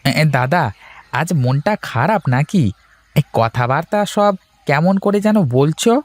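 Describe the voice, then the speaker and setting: A man's voice: a little high, animated, unhurried. A man voicing a humble, sympathetic tea seller in a cartoon, with a hesitant, deferential way of talking. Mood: attentive, deferential. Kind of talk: Bengali cartoon voice acting.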